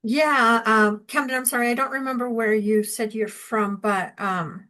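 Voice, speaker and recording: A woman's voice: on the high side, fairly even intonation, moderate pace, bright, forceful tone. Middle-aged academic woman, conversational and reflective. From born in Mexico, grew up in Southern California. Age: 50s. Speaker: university faculty member on a program panel. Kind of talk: informal answer at an information session.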